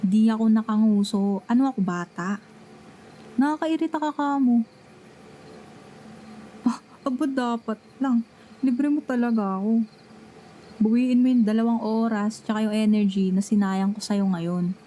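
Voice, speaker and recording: A woman's voice: on the high side, a little slow, pauses often, warm tone, slight background noise, close to an everyday voice. A young woman acting a grumpy, jealous friend who feigns nonchalance in an ASMR roleplay, pouty and defensive, slipping casual English into her talk. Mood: sulky, feigned indifference. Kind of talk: Tagalog ASMR roleplay acting, one-sided conversation.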